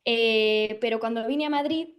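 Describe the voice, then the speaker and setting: A young woman from Galicia, an actress, talking through an interview thoughtfully, with frequent pauses and fillers as she searches for words. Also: fairly high pitch. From Galicia, Spain. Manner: thoughtful, hesitant, many fillers and restarts. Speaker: actress guest. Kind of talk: casual interview chat.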